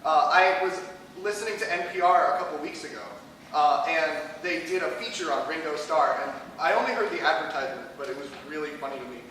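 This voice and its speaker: A man's voice: a little high, a little fast, sharp tone, a little hiss or hum. Rambling man on a theater stage. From United States. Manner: rambling, laughs at own stories. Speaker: improviser. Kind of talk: improv monologue. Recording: echoey hall from far off, with crowd.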